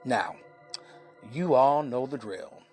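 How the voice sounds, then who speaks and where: Male host for a podcast introduction, slow, measured phrasing, soft music underneath. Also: medium pitch, expressive.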